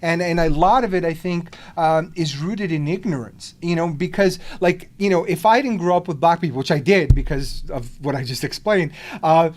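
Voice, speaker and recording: A man's voice: a little high, moderate pace, hardly any pauses. A man hosting a podcast, born in the Soviet Union and speaking English as a second language, talking in a rambling way with many restarts and fillers. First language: not English. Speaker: podcast host. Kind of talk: casual conversation.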